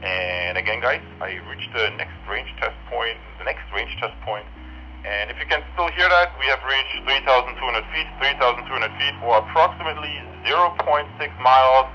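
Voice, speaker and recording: A man's voice: mid-range voice, normal speed, pushed and bright, lo-fi sound. A man reviewing products, heard through a walkie-talkie, giving range test commentary and repeating his phrases for the test. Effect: heard through walkie-talkie radio. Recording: band-limited walkie-talkie radio sound, music behind.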